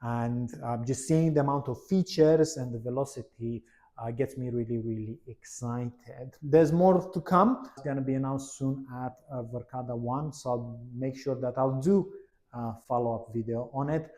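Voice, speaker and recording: A man's voice: mid-range voice, moderate pace, warm tone. A man narrating a product demo in a conversational, explanatory way, stressing key words as he presents.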